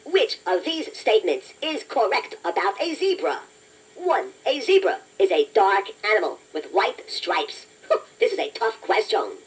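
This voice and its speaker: A woman voicing a chatty, playful toy robot that hosts a children's quiz, encouraging the listener in a deliberate, self-deprecating way. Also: mid-range voice, sing-song, slightly rough, exaggerated voice. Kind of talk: scripted children's quiz narration. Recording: noisy toy cassette playback, distant from mic.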